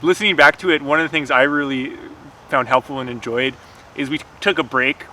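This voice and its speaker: A man's voice: medium pitch, fairly even intonation, brisk, sharp tone, a little hiss or hum, poor audio. A man chatting casually and agreeably as a guest on a vlog. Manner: conversational, agreeable. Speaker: guest co-writer. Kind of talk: casual chat.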